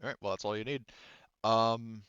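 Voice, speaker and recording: A man's voice: on the low side, steady tone, normal speed, a bit bright, clear, resonant tone, no room sound, muffled recording. A man casually running a tabletop roleplaying game as game master, explaining rules informally and thinking aloud.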